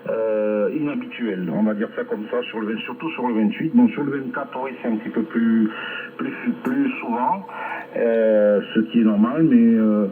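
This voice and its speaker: A man chatting casually as an amateur radio operator over a shortwave link, rambling hesitantly with long filled pauses. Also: mid-range voice, narrow, tinny audio. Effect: shortwave ham radio transmission. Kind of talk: casual ham radio contact chat. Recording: band-limited shortwave radio reception.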